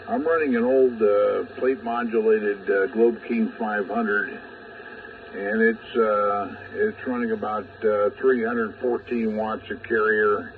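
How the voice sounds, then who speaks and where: Older male radio amateur, unhurried halting chat, distant narrowband shortwave sound with clear static. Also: fairly low pitch. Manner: halting, trailing off mid-thought. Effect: two-way radio transmission. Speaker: ham radio operator on the air. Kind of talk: casual rambling radio chat.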